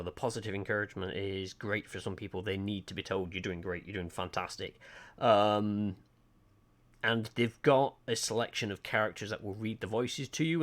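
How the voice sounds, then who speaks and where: A man from Lancashire with a Lancashire accent, chatting casually and hesitantly to viewers on a livestream, full of ums. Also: lowish voice. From Lancashire, England. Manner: rambling, chatty, self-deprecating, hesitant with frequent ums. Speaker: art livestreamer. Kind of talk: casual chat to stream viewers. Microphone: desk mic, webcam off.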